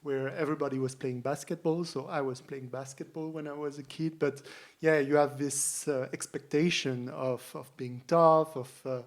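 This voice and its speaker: A man from France, a guest speaking reflectively in a panel discussion, with halting starts. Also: on the high side, fairly even intonation. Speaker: podcast guest.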